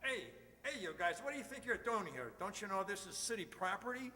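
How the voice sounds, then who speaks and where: Man doing a cranky high-pitched old superintendent. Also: animated, brisk, sharp tone, heavily acted. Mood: indignant. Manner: grumbling, spluttering, folksy mild oaths. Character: cranky old waterworks superintendent, irascible woman-hater. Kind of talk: old-time radio comedy acting. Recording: reverberant hall, stage re-enactment.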